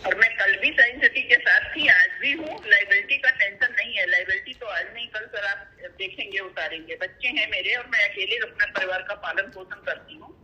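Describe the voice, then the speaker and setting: A woman's voice: medium pitch, a little fast, few pauses, bright, forceful tone. A woman speaking earnestly and deferentially on a phone call, pleading her case over a noisy line. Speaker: customer on the call.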